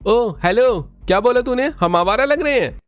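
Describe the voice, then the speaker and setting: A man's voice: very high-pitched, lively intonation, normal speed, no room sound, lo-fi sound. A man voicing a cocky, offended young loafer in a Hindi cartoon, brash and indignant. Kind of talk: Hindi family cartoon voice acting.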